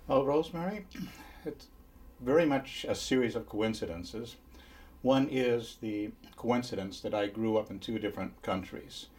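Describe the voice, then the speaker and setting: A man in his sixties from Finland, telling his life story in an interview at a measured pace with pauses. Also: lowish voice, darkish tone. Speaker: interviewee, criminologist. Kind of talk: reflective spoken memoir. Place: small indoor interview set.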